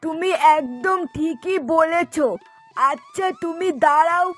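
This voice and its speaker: A woman plays a homely middle-aged mother-in-law in a cartoon family story. Her voice is pitched extremely high. She sounds agreeable and approving as she speaks. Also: takes it slowly, fairly bright tone, soft background music, full character voice.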